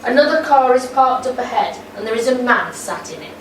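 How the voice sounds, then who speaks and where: A woman narrating the stage directions of an audio play in a plain, even reading. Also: a little high, brisk, roomy sound, distant mic, a little hiss or hum, rough recording.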